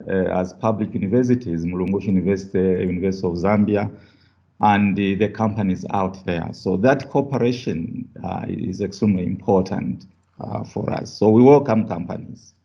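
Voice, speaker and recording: A man with a lowish, very mellow voice makes unscripted remarks as a university professor. The audio is dull and lo-fi, as on an online call. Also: moderate pace.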